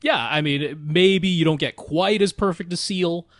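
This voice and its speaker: A man's voice: a little high, measured pace, fairly bright tone, no echo, clean studio sound. A man co-hosting a podcast, explaining practical advice casually in a methodical, matter-of-fact way. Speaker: podcast co-host.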